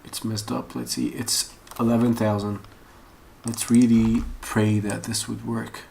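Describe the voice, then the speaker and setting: A man's voice: fairly low pitch, little pitch movement, measured pace, creaky, dark tone. A man giving a casual, unscripted screen-share tutorial, rambling and thinking aloud as he searches. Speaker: online course instructor. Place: at a computer. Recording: noisy room with keyboard and mouse clicks. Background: typing and mouse clicks.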